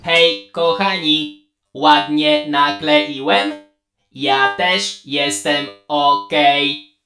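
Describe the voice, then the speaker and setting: A friendly robot for a kids' audio play. It talks extremely slowly in a low, creaky voice, eager to be liked. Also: female voice, expressive, over loud music, full character voice. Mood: friendly, pleased. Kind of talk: children's audio drama acting.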